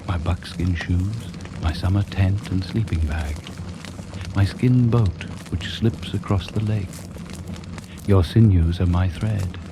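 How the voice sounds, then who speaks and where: An actor playing a solemn hunter-shaman in a 1980s radio drama, chanting slow, ceremonial poetic invocations. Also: sits low. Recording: noisy old off-air radio recording.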